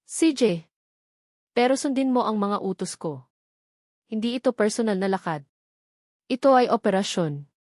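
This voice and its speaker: A woman's voice: mid-range voice, animated, normal speed, pauses often, a little mellow, dry recording, natural voice. Policewoman in charge, read by a female narrator: cold, clipped authority, dry recording. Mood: cold, commanding.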